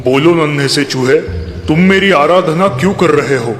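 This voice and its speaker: A man's voice: on the high side, normal speed, lightly acted. A man voicing an amiable but sly sun god in a children's cartoon story, stately and indulgent. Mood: benevolent. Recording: long hall reverb, faint music.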